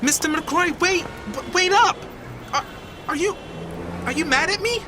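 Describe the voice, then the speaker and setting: Casting: woman playing excitable, cheeky child, high and animated, radio drama with noisy street ambience. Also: measured pace. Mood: eager, cheeky. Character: eager, cheeky young child named Angel, breathless tagalong.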